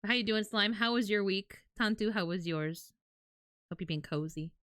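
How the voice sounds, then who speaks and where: A woman's voice: mid-range voice, moderate pace, no echo, studio quality. A woman chatting casually as a livestreamer, candid and freely swearing, telling things in a dramatic, self-deprecating way. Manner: animated, dramatic storytelling.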